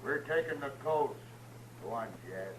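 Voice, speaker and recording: A cold, bullying old rancher, acted by a man with a medium-pitched voice. He threatens in clipped frontier phrases, as in old radio western drama. Clear noise sits on the recording. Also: animated, a little slow, close to an everyday voice, low-quality recording. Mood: cold, threatening. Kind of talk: 1950s radio western acting.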